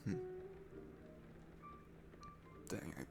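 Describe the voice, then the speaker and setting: A man's voice: fast talker, music in the background, close to an everyday voice. A young man playing a shy, nervous, sweet guy at a bar in an ASMR roleplay, talking in a hesitant, rambling, self-deprecating way. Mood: sheepish. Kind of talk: ASMR roleplay, one-sided intimate conversation.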